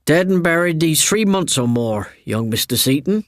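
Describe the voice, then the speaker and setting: A man's voice: fairly high pitch, animated, normal speed, no echo, clean studio sound, voice pushed up, close to an everyday voice. A man voicing a casual village butcher passing on grim news, in a ghost story reading. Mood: matter-of-fact. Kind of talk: dramatised audiobook ghost story.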